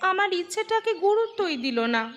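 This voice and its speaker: A woman's voice: very high voice, big pitch swings, a little fast, muffled recording, music in the background. A woman playing a meek young wife in a cartoon, apologetic and coaxing. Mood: disappointed.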